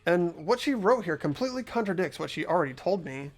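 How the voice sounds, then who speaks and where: A man's voice: sits high, lively intonation, quick pace. A man voicing an earnest, anxious teenage boy investigator, narrating and questioning in a video game readthrough. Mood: troubled, questioning. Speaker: host of playthrough. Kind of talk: amateur game voice acting.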